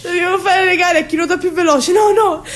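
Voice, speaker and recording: A woman's voice: very high voice, fairly even intonation, fairly quick. An actress playing a naive, devoted Sicilian woman in an emotional stage monologue, with a Sicilian accent and a repetitive, childlike delivery. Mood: tearful, exalted. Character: naive, devoted Sicilian woman, fiercely attached to her cousin.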